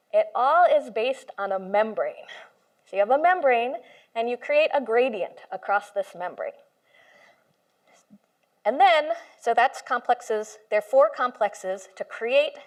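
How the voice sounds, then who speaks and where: A woman computational biologist giving an informal lecture to a live audience in a room, speaking with enthusiasm and explaining with everyday comparisons. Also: on the high side. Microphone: handheld or stand mic, moved closer at one point.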